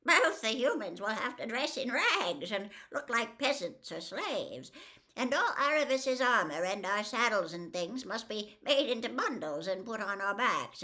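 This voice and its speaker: A woman voicing a humble, sensible female talking horse in a storybook, with a gentle, earnest and practical manner. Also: mid-range voice. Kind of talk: storybook character voice.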